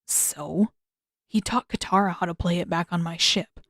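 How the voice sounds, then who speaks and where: A woman's voice: fairly low pitch, normal speed, a bit bright, no echo, studio quality. A woman voicing a stubborn, guarded teenage prince in a terse, offhand manner for a story reading.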